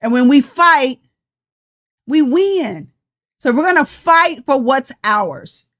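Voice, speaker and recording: A woman in her sixties with a slightly high voice. She talks straight to her viewers like a preacher, urging them to fight. She sounds passionate and a little tired. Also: expressive, slow, fairly bright tone. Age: 60s. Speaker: life coach. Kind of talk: motivational live-stream talk.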